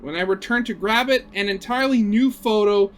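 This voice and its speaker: A man reading a visual novel aloud as expressive narration, dramatic, with brief conversational asides. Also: high voice, brisk, faint music behind. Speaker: video game let's player reading a visual novel aloud.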